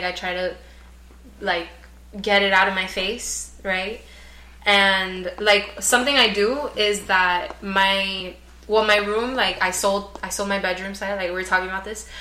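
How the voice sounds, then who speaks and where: Young woman, spanish first language, chatty podcast guest, bright voice, echoey room. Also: medium pitch, fairly even intonation, unhurried. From United States. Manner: chatty, laughs often, many fillers. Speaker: guest artist. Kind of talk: casual podcast chat.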